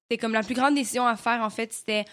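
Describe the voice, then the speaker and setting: A woman's voice: fairly high pitch, steady tone, fast talker, fairly bright tone. A young woman with a Québécois French accent, chatting casually as an interview guest and filling her talk with little hesitations. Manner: chatty, full of fillers like "comme" and "euh". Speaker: actress guest.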